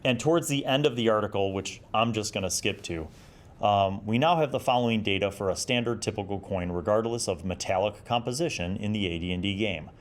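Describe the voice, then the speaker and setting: Low-voiced middle-aged man, casual and wry. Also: fairly quick. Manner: conversational, wry, self-described nerdy. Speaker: host of a retro tabletop gaming review series. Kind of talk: casual commentary, reading and reviewing a magazine article.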